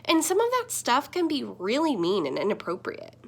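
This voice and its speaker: Woman voicing an earnest, animated talking screen. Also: high-pitched, moderate pace, creaky, breathy edge, heavily acted. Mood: earnest, warning. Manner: earnest, explanatory. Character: talking computer screen, friendly, earnest, gently scolding. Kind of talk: children's picture book read-aloud.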